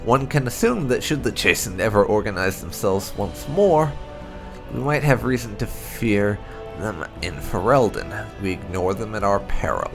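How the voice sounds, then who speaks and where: A man reading fantasy game lore aloud as scripted narration, in a measured, storybook-like delivery. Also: on the low side, very animated, music in the background.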